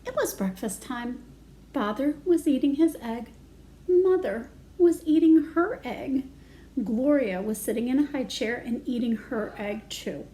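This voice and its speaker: Female children's storytime reader: high voice, singsong teaching delivery with chatty asides, faintly noisy recording. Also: expressive, unhurried, dark tone. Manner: singsong, addressing young children. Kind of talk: children's read-aloud with simple asides.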